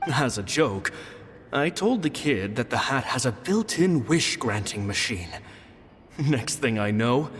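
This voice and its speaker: Guy playing a chill wry young magician, mid voice, kinda reverby hall sound, soft music under it. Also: mid-range voice, slight background noise, close to an everyday voice. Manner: relaxed, wry, gently amused. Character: young male stage magician, charming and kind. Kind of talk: video game voice acting, reading a journal entry.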